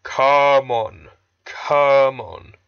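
A man's voice: medium pitch, animated, slow, muffled recording, voice pushed down. A man voicing a proud, grumpy, impatient steam engine in a pompous, crotchety storybook manner. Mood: eager, impatient.

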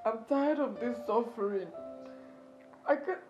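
A woman's voice: fairly high pitch, measured pace, lo-fi sound, soft background music, lightly acted. A woman acting a bedridden wife who is tired of suffering and begs to die. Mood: despairing. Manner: weary, pleading, quiet. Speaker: sick wife.

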